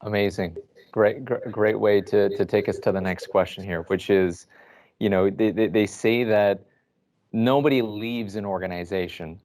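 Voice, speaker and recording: A man's voice: lowish voice, normal speed, darkish tone. A man moderating a panel on a video call, upbeat and affirming. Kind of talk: virtual panel discussion.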